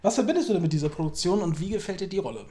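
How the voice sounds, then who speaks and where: A man's voice: sits high, expressive, very fast, dark tone. A young adult man hosting a casual interview, putting informal questions to his guest indoors on a handheld recorder. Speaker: interviewer, host. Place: indoors, small room. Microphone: small handheld recorder in his hand.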